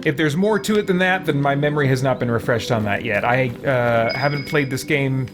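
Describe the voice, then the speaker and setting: A man hosting a game stream, chatting casually and explaining in a halting, conversational way. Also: mid-range voice, over loud music. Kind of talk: casual live chat while playing.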